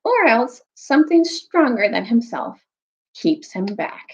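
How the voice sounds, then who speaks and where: A woman's voice: on the high side, very animated, a little slow, dull audio, pitched above the performer's own voice. A woman voicing a bitter, scheming male dwarf in a storybook, grudging and argumentative. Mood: bitter, persuasive. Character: bitter, scheming male dwarf plotting against old legends.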